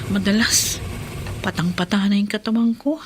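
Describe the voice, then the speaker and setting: An actress with a Filipino accent playing a tired but kindly, frank housewife in a radio drama. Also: female voice, mid-range voice, fairly even intonation, moderate pace, noisy background, natural voice. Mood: weary.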